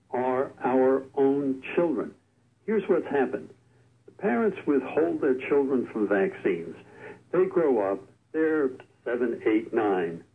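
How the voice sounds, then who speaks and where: Male expert guest, measured explainer, phoned-in radio audio. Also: mid-range voice. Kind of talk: explaining on a call-in radio show. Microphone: telephone. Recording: tinny phone line on radio.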